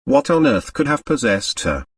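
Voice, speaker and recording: A man voicing a blunt, wealthy father in an audiobook, gruff, angry and shaken. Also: medium pitch, very animated, normal speed, a bit bright, dry recording, studio quality, far from the mic. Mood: muttering, angry. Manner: blunt, barking, muttering.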